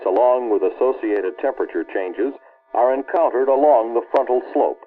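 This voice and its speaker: An American man reads scripted instructional narration for an early 1960s military film in a formal, authoritative, measured way. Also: lowish voice, husky. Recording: muffled, band-limited old film soundtrack.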